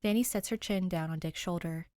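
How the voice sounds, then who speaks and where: Lowish-voiced woman narrating, very dry sound. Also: brisk, a little mellow, no echo. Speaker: podfic narrator. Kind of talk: scripted story narration.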